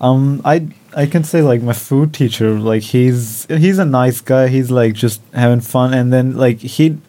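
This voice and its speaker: A teenage boy who is a guest on a youth radio chat, speaking in a hesitant, halting way. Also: mid-range voice, mellow.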